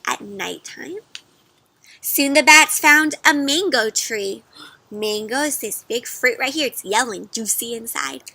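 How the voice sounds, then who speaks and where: Female storyteller for kids: high, bright, expressive, question-led interactive read-aloud, dry close sound. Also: unhurried, gravelly, no room sound. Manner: expressive, prompting listeners with questions.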